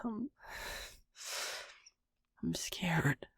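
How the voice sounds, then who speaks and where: A man playing a frightened, anxious young magic student in an audio roleplay, with a creaky, breathy voice and a hesitant stammer. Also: high voice, lively intonation, very slow, a bit bright, no room sound, in a whisper, exaggerated voice. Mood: scared.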